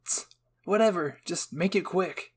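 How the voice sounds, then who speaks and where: A man voicing a gruff, impatient young male scout who talks meanly, curt and dismissive, in an anime fan fiction roleplay. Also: sits high, unhurried, voice pushed up.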